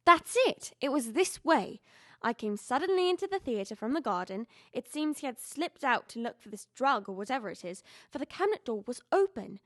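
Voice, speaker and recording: A woman's voice: a little high, very animated, moderate pace, a bit bright, dry recording, clean studio sound. A young woman playing a loyal household servant in an audio drama, earnest, deferential and agitated. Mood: alarmed. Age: young adult. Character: frightened, insistent loyal female household servant.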